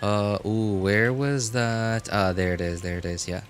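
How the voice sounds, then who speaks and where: A man's voice: fairly low pitch, fairly even intonation, measured pace, smooth. A man doing casual live gameplay commentary, thinking aloud in short informal remarks and chatting with his viewers. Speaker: game livestreamer. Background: game music.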